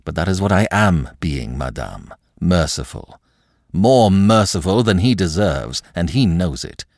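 A man's voice: deep voice, sing-song, measured pace, darkish tone, dry recording, studio quality, heavily acted. A man voicing a stern, vengeful young hero in an audiobook, commanding and coolly ironic. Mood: cold irony. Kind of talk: dramatic audiobook character acting.

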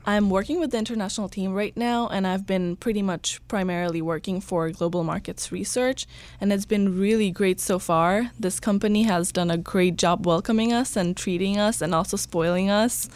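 A woman's voice: mid-range voice, steady tone, moderate pace, darkish tone. A young woman from Bangladesh, a college intern, speaking politely and eagerly as a guest on a podcast. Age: young adult. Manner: polite, eager, a little nervous.

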